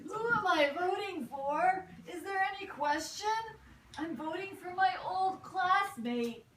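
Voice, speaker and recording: A woman's voice: very high voice, animated, measured pace, fairly bright tone, a little hiss or hum, full character voice. A woman voicing an earnest, sentimental parent in a comic puppet show. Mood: earnest, wistful. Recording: faint distant mic, echoey room.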